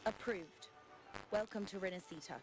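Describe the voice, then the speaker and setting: An actress playing a formal, rule-bound female port official in a video game, with a brisk manner. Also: mid-range voice, lightly acted. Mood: businesslike. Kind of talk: scripted video game dialogue. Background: music.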